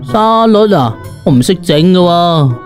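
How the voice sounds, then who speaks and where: A man doing a grumpy, high-pitched monster guy. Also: lively intonation, measured pace, soft background music. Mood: grumbling. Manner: grumbling, boastful. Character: grumpy, proud male monster housemate who cooks.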